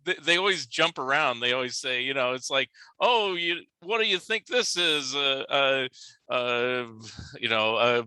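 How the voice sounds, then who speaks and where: A podcast host puts questions to his guest in a halting manner, full of "uh"s and quick agreement. His voice is very bright and sharp, recorded close and dry with no room sound. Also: medium pitch, animated, smooth, dry recording. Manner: halting, lots of fillers and backchannels. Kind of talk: interview questions.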